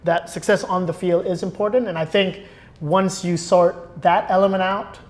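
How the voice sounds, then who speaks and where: A man's voice: high voice, fairly even intonation, normal speed. A man speaking as a podcast guest in a casual interview, reflective and thinking aloud.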